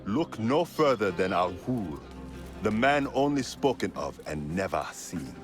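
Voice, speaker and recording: An actor playing a gruff, commanding, mocking rebel fighter in a video game cutscene. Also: male voice, fairly low pitch, sing-song, a little slow, soft background music. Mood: grim.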